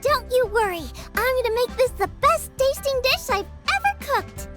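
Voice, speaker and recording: An actress playing a cheerful, energetic young female chef in a game cutscene, bubbly and excitable. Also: extremely high pitch, normal speed, soft background music. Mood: excited. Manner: bubbly, excitable, sing-song.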